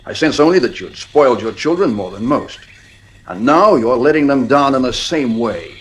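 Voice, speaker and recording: Radio play: a frank, opinionated doctor of the mind, husky tone, expressive intonation. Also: male voice, mid-range voice. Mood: grave, frank. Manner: measured, wry, opinionated. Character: male psychiatrist, frank, wry family friend. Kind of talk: radio drama acting.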